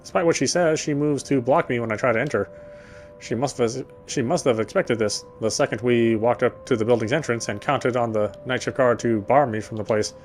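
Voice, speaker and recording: An actor playing a guarded, dry-witted young man who cares quietly, speaking his inner thoughts and dialogue in a wry, introspective way. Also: mid-range voice, close to an everyday voice. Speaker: game protagonist. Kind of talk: first-person inner monologue and dialogue.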